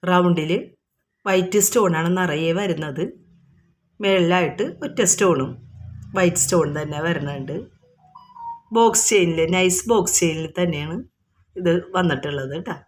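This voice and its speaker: A woman's voice: lowish voice, normal speed, pauses often, soft background music. A woman with a Kerala accent informally showing products item by item, explaining each and repeating prices in an unscripted, repetitive way.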